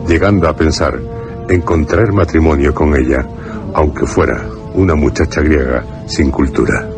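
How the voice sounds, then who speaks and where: Very deep-voiced male radio narrator. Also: normal speed. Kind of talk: scripted radio drama narration. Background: music behind the voice.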